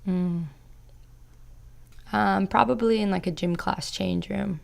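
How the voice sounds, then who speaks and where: A young woman with heavy vocal fry. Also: medium pitch, fairly even intonation, slow pace. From Canada. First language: Serbo-Croatian. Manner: reflective, frequent "like" and "you know". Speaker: podcast guest. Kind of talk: casual interview chat.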